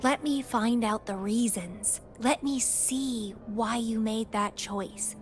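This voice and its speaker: A thrill-seeking young woman warrior in a video game. Her voice sits fairly high and she speaks very slowly, teasing and theatrical, with a wistful, possessive edge. Music plays clearly behind her. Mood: wistful, pensive. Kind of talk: scripted video game character acting.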